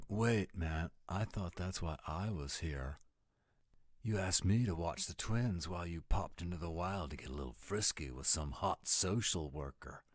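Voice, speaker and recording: Man doing a low, goofy American slacker dude. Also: measured pace, dry recording, clean studio sound. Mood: teasing. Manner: slacker surfer-dude drawl, rambling, dim-witted. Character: laid-back goofy American dude werewolf friend, Moondoggy. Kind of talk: scripted audio roleplay.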